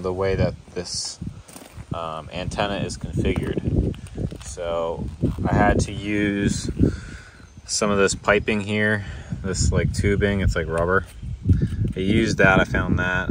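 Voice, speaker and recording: A man with a deep, low voice talks casually through a backyard building project, pausing often on filler sounds. He is recorded outdoors on a phone, and steady outdoor noise sits clearly behind him. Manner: relaxed, plain-spoken, frequent "uh" fillers. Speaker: homesteader vlogger. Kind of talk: casual how-to narration. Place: outdoors on a rural homestead yard.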